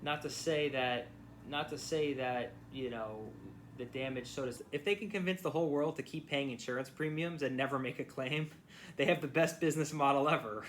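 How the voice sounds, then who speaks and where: A man's voice: mid-range voice, normal speed, a bit bright. A man speaking as a personal injury lawyer, giving casual, blunt advice with repeated phrases and restarts. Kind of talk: casual phone advice to a client. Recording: clear background noise.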